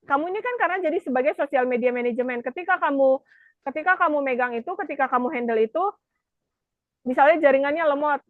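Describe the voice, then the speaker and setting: A woman's voice: high-pitched, dry recording, phone-quality sound. A woman, explaining things informally as a class instructor with frequent check-in questions. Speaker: virtual assistant class instructor. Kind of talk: informal teaching, explaining with examples.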